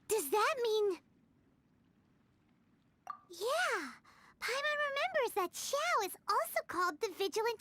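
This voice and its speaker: A tiny fairy girl chirps in a squeaky, extremely high voice. She is excitable and sassy, with unhurried, very animated lines made for a video game. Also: a little hiss or hum, full character voice. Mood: puzzled. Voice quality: squeaky, childlike. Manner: refers to self in third person, exclamatory.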